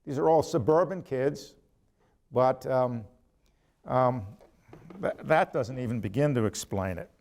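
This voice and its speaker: An older man, a professor, lecturing from a prepared text in a deliberate, measured way with emphatic pauses, quotations and asides. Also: medium pitch, gravelly, a little mellow. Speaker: ethics professor giving a lecture.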